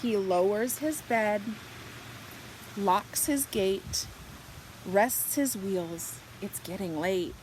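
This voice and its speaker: A woman reads a picture book aloud for small children at a very slow, deliberate pace. Her voice sits at an average pitch, with expressive, drawn-out phrasing that softens for the bedtime lines. There is faint background noise in the recording. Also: low-quality recording. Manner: slow, animated delivery with drawn-out words and hushed, whispered good nights. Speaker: children's storybook reader.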